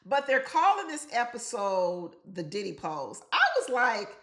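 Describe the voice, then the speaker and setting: A woman's voice: on the high side, very animated, measured pace, a bit bright, roomy sound. A woman chatting straight to her viewers in an opinionated, chatty way, sounding congested from being sick. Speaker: reality TV commentator. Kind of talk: casual commentary chat.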